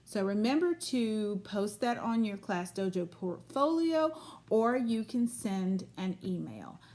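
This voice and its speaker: A woman's voice: medium pitch, animated, takes it slowly, darkish tone. A woman teaching a children's lesson on video, speaking in simple, repetitive phrases and prompting with questions. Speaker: early grade school teacher.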